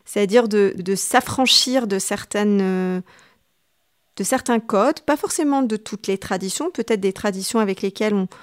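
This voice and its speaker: A woman novelist from Tunisia answering interview questions thoughtfully, often pausing to search for her words. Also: mid-range voice. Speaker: novelist guest.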